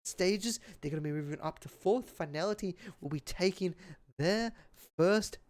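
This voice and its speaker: A man's voice: high voice, normal speed, darkish tone. A man doing live play-by-play esports commentary, earnest and appreciative, his voice a little strained and tiring. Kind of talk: live game commentary and sign-off.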